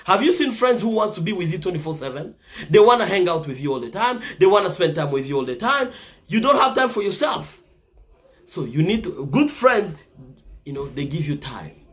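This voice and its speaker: Brief: Congolese-accented man, high and animated voice, earnest advice vlogger. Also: fairly quick. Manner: earnest, often ends points with "right?". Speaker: vlogger giving life advice. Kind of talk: casual advice monologue.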